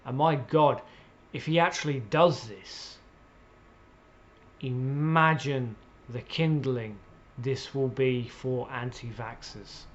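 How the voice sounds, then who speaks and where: A man delivering an opinionated political rant in a blunt, sweary, exasperated way, with emphatic pauses and repetition, on slightly muffled audio. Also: medium pitch, a little hiss or hum. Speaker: political commentator.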